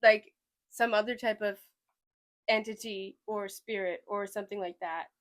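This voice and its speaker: A woman's voice: mid-range voice, fairly even intonation, moderate pace. A young woman from Sweden with a slight Southern US twang, hosting a podcast in a rambling, chatty way with lots of hedging. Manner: rambling, chatty, hedges a lot. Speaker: podcast host. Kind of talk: casual chat.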